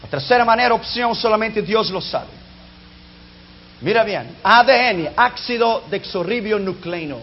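A man preaching an emphatic sermon who learned his Spanish as a second language, dropping low and quiet at the ends of phrases. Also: expressive, a little slow, slight background noise. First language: not Spanish. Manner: emphatic, drops pitch and volume sharply at phrase ends. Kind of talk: fiery sermon. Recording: dull lo-fi sound.

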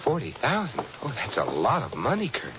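An actor playing a polite, urbane gambler in a radio drama, with a wry, courteous manner. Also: male voice, medium pitch, huge pitch swings, fast. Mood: eager. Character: polite, suave male gambler playing the eager mark. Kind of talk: old-time radio drama acting. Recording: dull, hissy old radio recording.

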